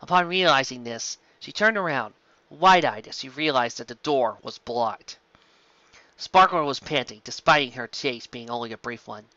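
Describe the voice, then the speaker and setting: A woman reading a story aloud as its narrator, in an expressive scripted style. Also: rumbling low, moderate pace, dry recording, muffled recording.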